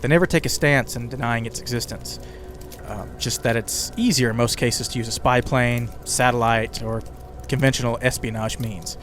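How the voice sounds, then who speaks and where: A man's voice: mid-range voice, steady tone, normal speed, fairly bright tone. A man hosting a podcast with conversational scripted narration, slipping in casual asides to the listener. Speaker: podcast host and writer. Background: ambient music bed with crackling fire sounds.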